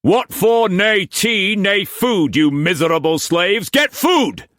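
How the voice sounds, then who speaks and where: A man's voice: sits high, lively intonation, slow pace, fairly bright tone, no room sound, clean studio sound, voice pushed up, heavily acted. A man voicing a furious, domineering master who barks harsh commands at his household. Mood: raging, bellowing.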